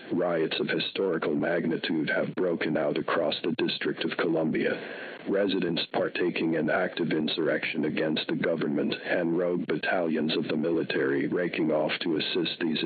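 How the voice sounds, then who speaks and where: A man voicing a cold, menacing government emergency announcer in a scripted horror broadcast, with stern, measured delivery through a radio filter. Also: fairly even intonation, a little hiss or hum. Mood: cold, grave. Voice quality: very deep, husky. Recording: muffled phone-like radio broadcast sound.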